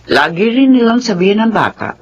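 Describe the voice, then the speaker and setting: Casting: elderly grandfather for radio drama, high animated voice, earnest and advising. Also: a little fast, lo-fi sound, slight background noise. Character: old grandfather, earnest and exacting about his granddaughter.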